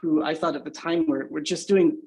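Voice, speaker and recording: Thoughtful man, fairly high voice. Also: fairly even intonation, brisk, a little mellow. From Singapore. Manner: thoughtful, many "you know" and "right?" fillers. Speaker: guest, agency founder. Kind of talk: podcast interview.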